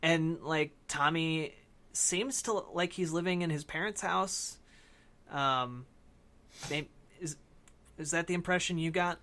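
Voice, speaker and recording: A man with a fairly high, very bright voice talks casually as a podcast co-host. He discusses film in a halting, wandering way, feeling out his points and hedging as he goes. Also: steady tone.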